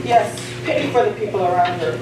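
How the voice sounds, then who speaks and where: A woman's voice: medium pitch, animated, fairly quick, close to an everyday voice. A woman playing a giddy, gossiping asylum madwoman in a stage play, exclamatory and theatrical. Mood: gossipy. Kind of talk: amateur stage acting in a one-act play. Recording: echoey, noisy stage from far off.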